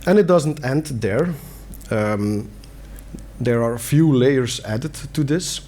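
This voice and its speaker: A man's voice: mid-range voice, slow, warm tone. A middle-aged man from Belgium, a native Dutch speaker with a Belgian Dutch accent, giving an earnest conference talk in plain words with fillers and restarts. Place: conference hall.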